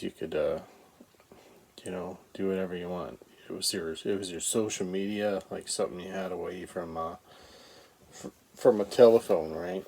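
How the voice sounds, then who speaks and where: Deep-voiced, unhurried Canadian man. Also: darkish tone. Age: middle-aged. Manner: halting, rambling, informal with profanity. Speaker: comedian and show host. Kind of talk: casual vlog talk to viewers.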